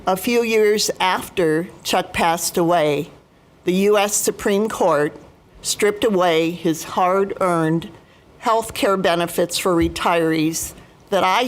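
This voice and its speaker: Slow-speaking American woman in her seventies. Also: lowish voice. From United States, Midwest. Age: 70s. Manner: formal, deliberate, emphatic repetition. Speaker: union retiree chapter president, guest speaker. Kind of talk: prepared personal testimony speech.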